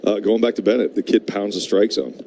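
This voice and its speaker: Male baseball analyst for live radio, low-pitched and dark-toned, informal conversational delivery, ambient game noise. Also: fairly even intonation, brisk. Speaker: color commentator, baseball analyst. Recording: live broadcast with clear background noise.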